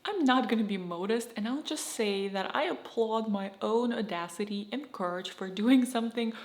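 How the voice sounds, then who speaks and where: A woman's voice: on the high side, moderate pace. Russian-accented woman, candid vlogger, roomy sound. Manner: candid, reflective, slightly choppy phrasing. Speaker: YouTuber. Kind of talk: scripted personal vlog monologue. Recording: roomy echo.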